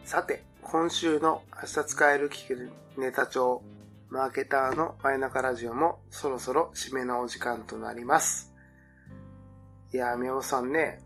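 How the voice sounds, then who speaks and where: Male podcast host, creaky voice, casual analytical marketing chat, slangy, music behind. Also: medium pitch, fairly even intonation, unhurried, fairly bright tone.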